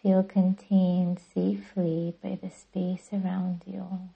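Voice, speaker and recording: Slow, husky woman guiding meditation. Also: lowish voice, completely flat delivery, muffled recording. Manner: soothing, gentle, deliberate. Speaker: yoga nidra guide and podcast host. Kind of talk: guided meditation narration.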